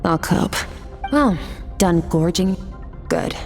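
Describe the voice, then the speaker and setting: Low-voiced, aloof warrior woman. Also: animated, slow, darkish tone, soft background music. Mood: cool, explanatory. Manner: detached, matter-of-fact, condescending. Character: aloof, battle-hardened otherworldly swordswoman, cool and blunt. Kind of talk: video game voice acting.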